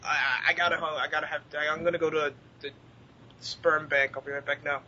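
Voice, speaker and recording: A man's voice: a little high, level tone, brisk, bright, forceful tone. A man co-hosting a podcast in casual, crude, profane chat with friends. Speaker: podcast co-host. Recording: muffled lo-fi audio, background noise.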